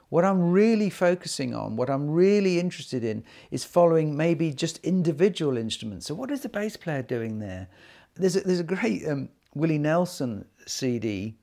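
A man's voice: fairly high pitch, creaky, a little mellow. A man in his sixties giving informal, conversational advice as a presenter, explaining with emphasis and voicing imagined thoughts. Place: medium-sized living room with wooden floor, indoors.

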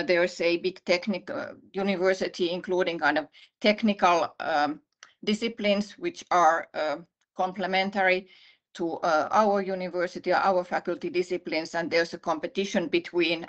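Vice-dean, middle-aged woman, Finnish accent, low-pitched, halting measured delivery, dull video-call sound. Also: fairly even intonation. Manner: measured, many "uh" pauses and restarts. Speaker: university vice-dean presenting. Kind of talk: online conference presentation. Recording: slightly muffled video call audio.

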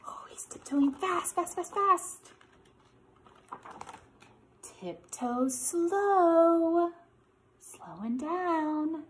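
A woman's voice: very high-pitched, slight background noise. An American woman in her thirties leading a children's storytime, with an exaggerated, encouraging way of talking to young kids. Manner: animated, encouraging, exaggerated child-directed delivery with questions. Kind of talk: preschool lesson and picture-book read-aloud.

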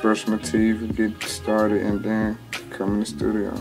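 Laid-back young man, low flat drawl. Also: measured pace, creaky. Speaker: rapper. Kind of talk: casual interview answers. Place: small home studio indoors. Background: music bed under the talk.

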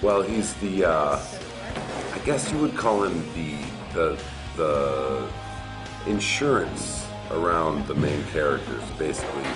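A man, an actor, speaking haltingly and searching for words in a promo interview. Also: rumbling low, animated. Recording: echoey room, music behind.

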